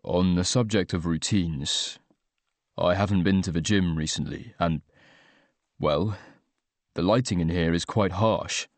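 A man voicing a fastidious, reserved man with precise, measured speech and an anxious streak. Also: deep voice, dry recording, voice pushed down. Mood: hesitant, defensive.

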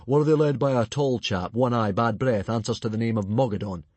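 A man voicing a loud, blustering male chieftain who is not very clever, with a booming voice. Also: fairly quick, dry recording, close to an everyday voice.

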